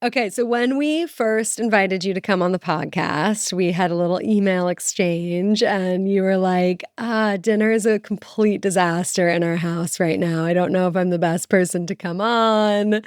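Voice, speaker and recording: A woman's voice: mid-range voice, moderate pace, few pauses, smooth, no echo, studio quality. A woman co-hosting a podcast, chatty and enthusiastic in casual interview talk. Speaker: podcast co-host.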